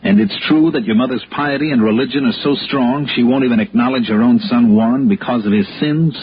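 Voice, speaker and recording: An American actor playing a tough, cool insurance investigator in clipped, hard-boiled 1960s radio noir style. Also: male voice, medium pitch, a little fast, husky, natural voice. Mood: probing, skeptical. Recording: muffled vintage radio, music behind.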